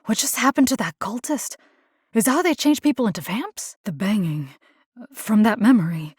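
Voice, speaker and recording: A woman's voice: on the high side, animated, normal speed, breathy edge, no echo, clean studio sound. An actress voicing a wry, determined, compassionate young woman who hunts monsters in a video game, speaking conversationally with muttered asides. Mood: uneasy surprise. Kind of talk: video game character voice lines.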